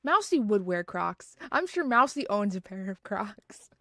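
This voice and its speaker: A woman's voice: mid-range voice, big pitch swings, measured pace, fairly bright tone, no echo, studio quality. A woman livestreaming from home, chatting casually and rambling with lots of fillers and restarts. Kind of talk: casual chat.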